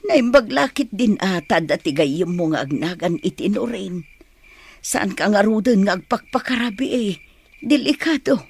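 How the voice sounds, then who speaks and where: A woman acts out an older mother, worried and caring, in a radio drama. Her voice is husky and swings widely in pitch. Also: lively intonation, normal speed. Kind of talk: Ilocano radio drama acting.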